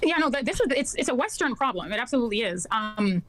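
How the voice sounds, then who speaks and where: Woman guest, fairly high voice, quick talker, measured, hesitant restarts. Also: expressive, a bit bright, no echo. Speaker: debate guest. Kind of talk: thoughtful conversational argument.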